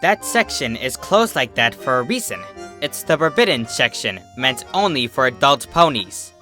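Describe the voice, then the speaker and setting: A weary old mare librarian, played by a man, speaks in a careful, cautioning way. The voice is measured and patient, for a storybook, with music behind it. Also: mid-range voice, sing-song, bright, forceful tone. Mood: careful, warning. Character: old female pony librarian, weary, careful, tight-smiling. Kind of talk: fan fiction storybook reading.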